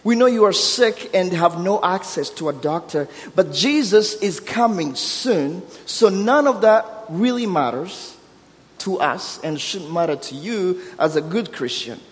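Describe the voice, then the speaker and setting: A man's voice: high voice, lively intonation, unhurried. A man in his thirties from Burundi with a Burundian accent, preaching a sermon with humor and building emphasis. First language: Kirundi. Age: 30s. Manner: warm storytelling with self-deprecating humor, rising emphasis. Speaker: guest preacher. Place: church sanctuary. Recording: long hall reverb.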